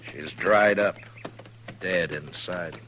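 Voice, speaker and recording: An American man acting a stern, weary, plain-spoken frontier marshal in a terse, gruff 1950s radio western style. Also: low voice, slow pace, pushed and bright, slight background noise, heavily acted. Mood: matter-of-fact. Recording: old 1950s radio recording, faint music.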